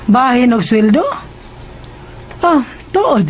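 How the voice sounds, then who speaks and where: An actress playing a busy schoolteacher who employs a student helper, in a radio drama. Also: female voice, a little high, expressive, takes it slowly, a little hiss or hum. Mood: reassuring, businesslike. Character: obliging single schoolteacher employer, busy and bossy at home. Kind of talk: Cebuano radio drama acting.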